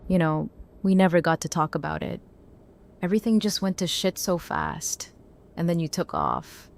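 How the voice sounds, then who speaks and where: A woman's voice: on the low side, normal speed, creaky. A woman playing a blunt, battle-worn apocalypse survivor in a naturalistic audio drama. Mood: candid.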